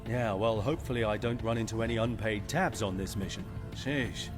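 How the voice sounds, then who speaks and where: A man's voice: on the low side, fairly quick, music in the background, lightly acted. A man playing a sardonic, easygoing mercenary pilot in a space video game, with a wry, casual manner. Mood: exasperated.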